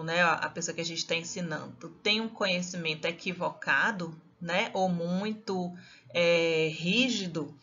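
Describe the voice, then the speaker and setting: A woman's voice: lowish voice, fairly bright tone. Bahian woman teacher, chatty lecturing style with constant tag questions; muffled, lo-fi recording. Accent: Bahian Brazilian Portuguese. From Salvador, Bahia, Brazil. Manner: conversational, frequent tag questions and restarts.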